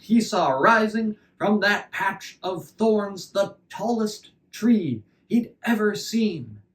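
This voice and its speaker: A man performs a fairy tale as an oral storyteller. His voice is fairly high and his delivery unhurried, dwelling on phrases and echoing them for dramatic effect. The microphone catches him from a slight distance. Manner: deliberate, repeats phrases for effect.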